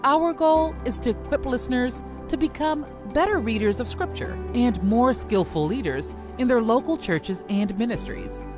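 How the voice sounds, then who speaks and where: A woman's voice: a little high, animated, moderate pace, hardly any pauses. A woman reading a polished, upbeat scripted podcast intro. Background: music bed.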